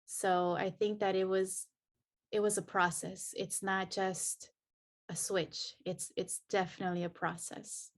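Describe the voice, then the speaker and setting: A woman from El Salvador speaks as a podcast guest, sharing her story in an earnest, reflective way. She often restarts her sentences as she searches for words, and her voice sits at a middle pitch. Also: little pitch movement, unhurried. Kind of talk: personal storytelling in an interview.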